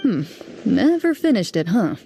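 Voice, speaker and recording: An actress voicing a composed, stern but kind monster hunter and duelist in a video game, speaking in a measured, firm manner. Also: female voice, medium pitch, big pitch swings, creaky, mellow, slight background noise. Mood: composed, encouraging. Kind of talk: scripted video game voice acting.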